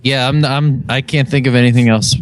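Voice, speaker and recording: A man's voice: medium pitch, fairly even intonation, brisk. A man co-hosting a podcast in casual, bantering chat. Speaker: podcast co-host.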